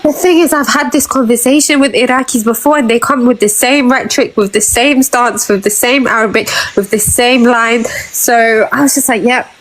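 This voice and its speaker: A woman calling into a debate stream over a video call, sassy and teasing and quick to laugh. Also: fairly high pitch, poor audio. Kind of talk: casual chat.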